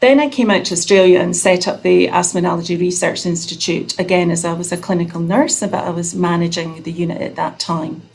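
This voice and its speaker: A Scottish woman in her fifties, a nurse researcher, chatting warmly about her career on a video call. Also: lowish voice, fairly even intonation, brisk, creaky, few pauses, a little hiss or hum. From Scotland.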